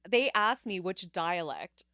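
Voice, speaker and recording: A woman's voice: fairly low pitch, animated, takes it slowly, sharp tone, no echo, studio quality. A woman guest on a casual podcast, telling stories and laughing often. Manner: chatty storyteller, laughs often. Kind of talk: casual chat.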